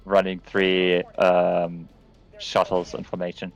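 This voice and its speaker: A man's voice: sits low, monotone, takes it slowly, gravelly, no room sound, dull audio, soft background music. A man casually talking through a team match over voice chat, hesitant and swearing now and then. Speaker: streamer reviewing matches.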